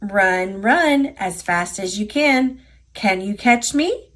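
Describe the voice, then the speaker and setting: A woman's voice: mid-range voice, animated, slow pace, a bit bright, voice pushed down. An American woman voicing a cocky, playful little gingerbread man from a storybook, chanting boastful taunts in a sing-song manner.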